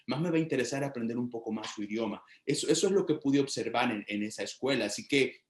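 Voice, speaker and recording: A man presenting research findings in an academic discussion, speaking in a measured, explanatory way with frequent restarts. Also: mid-range voice. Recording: roomy echo.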